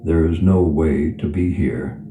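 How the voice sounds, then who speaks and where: A man guiding a meditation in a soothing, unhurried manner, leaving long pauses between phrases. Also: rumbling low, mellow. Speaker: meditation guide. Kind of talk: guided meditation. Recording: reverberant voice over clear background music. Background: background music.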